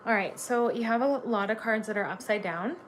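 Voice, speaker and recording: A woman's voice: mid-range voice, brisk. Woman from Canada, tarot reader, chatty and gushing, lots of fillers, faint background hiss. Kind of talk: live tarot reading stream.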